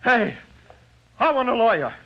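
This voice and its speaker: A man's voice: very high-pitched, sing-song, measured pace, vocal fry, full character voice. An actor playing a frightened jailed gangster in an old-time radio crime drama, with slangy, ungrammatical tough-guy talk that cracks into pleading, on a muffled old recording. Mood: panicked, pleading. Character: frightened, panicky jailed gangster, afraid of the dark.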